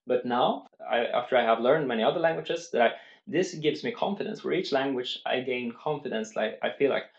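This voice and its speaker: A man's voice: medium pitch, brisk, vocal fry, roomy sound, lo-fi sound. A man vlogging about language learning, speaking unscripted to the camera in an earnest way and explaining step by step, with many restarts.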